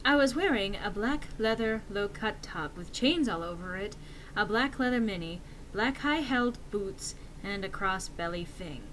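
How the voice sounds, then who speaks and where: A woman's voice: mid-range voice, lively intonation, normal speed, a little hiss or hum, poor audio. A woman giving an exaggerated dramatic reading of fan fiction in a theatrical manner. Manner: theatrical, swinging into shouts for quotes.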